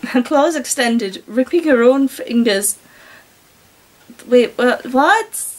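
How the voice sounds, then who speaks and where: A woman with a high-pitched British voice reads a story aloud in an amateur style. She is giggly and openly incredulous, breaking into sarcastic commentary on what she reads. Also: animated, slow pace. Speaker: reader and commentator. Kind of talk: amateur story reading with mocking asides.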